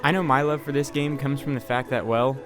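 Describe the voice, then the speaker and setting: A man's voice: medium pitch, steady tone, fairly quick. A young man doing a casual voice-over game review, sarcastic and profane. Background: faint music.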